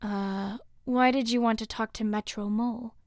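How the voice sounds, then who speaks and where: Audio drama cop, acted by a woman: candid, no-nonsense female officer, raised pitch, dry sound. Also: fairly even intonation, moderate pace, no room sound, close to an everyday voice. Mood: questioning.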